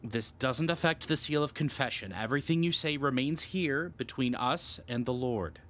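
A man playing a gentle, flustered young priest in an audio drama, hesitant, apologetic and prone to stammering. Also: mid-range voice, normal speed, a little hiss or hum, close to an everyday voice. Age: young adult.

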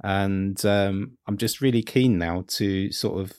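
A man's voice: deep voice, slow, a little mellow, smooth, dry recording, studio quality. A man hosting a podcast, asking casual questions in a friendly, hesitant way. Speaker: podcast host.

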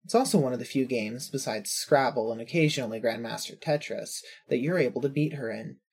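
A woman narrates scripted fiction. Her voice is low for a woman and noticeably husky. She reads as a storyteller setting out scenes and thoughts. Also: a little fast, darkish tone.

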